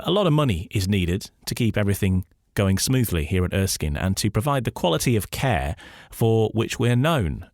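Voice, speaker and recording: A man's voice: sits low, a little mellow, no room sound, studio quality. A man hosting a radio interview in a conversational, chatty style.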